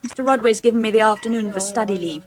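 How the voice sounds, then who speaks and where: A woman's voice: medium pitch, fast, natural voice. A woman playing a sensible, somewhat weary young student with an English accent in a 1980s radio soap. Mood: friendly. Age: young adult.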